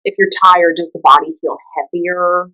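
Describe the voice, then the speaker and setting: Woman guiding a meditation, slightly low voice. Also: steady tone, sharp tone, poor audio. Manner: soothing, unhurried, conversational. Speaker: meditation guide. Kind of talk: guided meditation, gentle spoken instruction.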